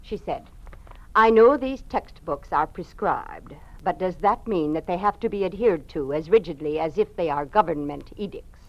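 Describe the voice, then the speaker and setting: An actress playing a cold, doctrinaire party informer in an old-time radio drama, clipped and insistent. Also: female voice, lowish voice, animated, normal speed, lo-fi sound. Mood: dutiful reporting.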